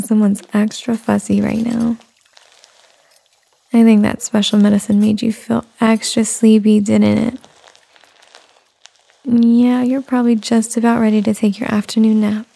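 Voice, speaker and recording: Soft whispery woman cooing real slow, mellow with a bit of vocal fry. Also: on the high side. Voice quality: whispery, breathy. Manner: cooing, soothing, talks as to an infant. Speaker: roleplay performer. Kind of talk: soft-spoken ASMR roleplay.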